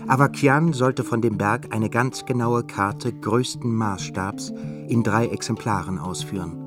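Measured male narrator over music. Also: on the low side. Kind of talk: scripted radio play narration. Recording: narration with clear music beneath.

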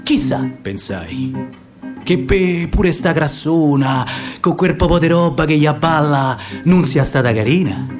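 Man acting nostalgic Roman storyteller, middle-aged, theatrical verse recitation, lively intonation, acoustic guitar accompaniment. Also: fairly high pitch, measured pace. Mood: wry, reflective. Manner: expressive, storytelling. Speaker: actor reciting dialect verse. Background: acoustic guitar music.

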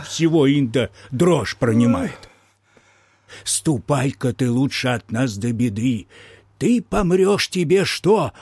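An actor playing a gruff, callously practical peasant man in a radio play, grumbling in rustic folk speech. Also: mid-range voice, a little mellow, no room sound, exaggerated voice. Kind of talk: Soviet radio theatre acting.

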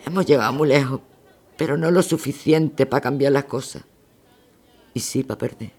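A woman's voice: a bass voice, normal speed, a little mellow, breathy edge. A woman playing a weary, grieving leader of a women's protest, solemn and plain-spoken, in a radio drama. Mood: mournful, bitter.

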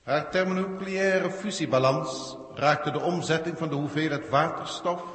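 An actor playing a haughty alien galactic proctor in a 1960s radio drama, formal, pedantic and condescending, with a Netherlandic Dutch accent. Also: male voice, a little high, normal speed, fairly bright tone, natural voice. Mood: cold, disdainful. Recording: echoey hall reverb, 1960s radio recording.

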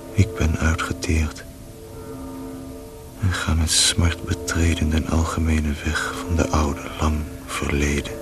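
An actor playing a worn-out dying man who prays slowly and resignedly for mercy in a radio play. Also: a bass voice, exaggerated voice. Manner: slow, resigned, devout. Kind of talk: solemn prayer in verse in a radio play. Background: music.

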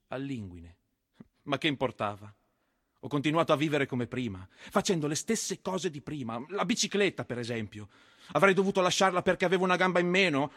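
A proud, defiant soldier in his thirties, tells his story in a declamatory theatrical monologue. The voice is high and rough-edged, recorded dry with faint music behind it. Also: fairly bright tone, no echo. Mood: grave, proud, then defiant pride. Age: 30s. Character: proud one-legged Roman bersagliere soldier, a ghost recounting his death.

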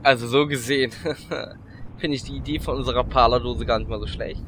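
A man's voice: mid-range voice, steady tone, fairly quick, fairly bright tone, a little hiss or hum, natural voice. A man playing a whiny, cheeky gnome adventurer in a fantasy parody radio play, rambling and complaining. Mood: sardonic.

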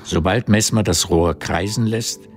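A male narrator with a low voice reads a radio drama's literary narration. Music sits behind his scripted delivery. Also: a little slow.

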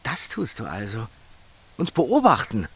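An actor playing an energetic, curious teenage village boy in a German audio drama, casual and confident in manner. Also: mid-range voice, unhurried, a little hiss or hum, full character voice. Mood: impressed, probing. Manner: casual, colloquial, exclaiming.

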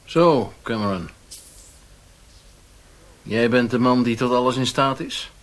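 Courteous, commanding crime boss. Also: male voice, medium pitch, animated, takes it slowly. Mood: controlled, sly. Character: smooth, controlling male boss of an opium house. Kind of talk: radio drama acting.

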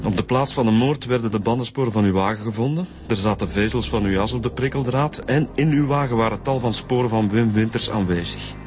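An actor with a Flemish accent playing a firm police detective in a radio drama. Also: male voice, mid-range voice, brisk, few pauses, a little mellow, soft background music, natural voice. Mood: firm, accusing.

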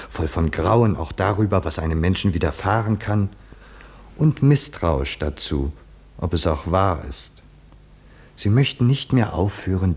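An actor playing a solemn, nearly blind poet-seer in a 1950s German radio drama, admonishing a hero in a deliberate, oracular way. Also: male voice, deep voice, a little mellow, slightly rough, heavily acted.

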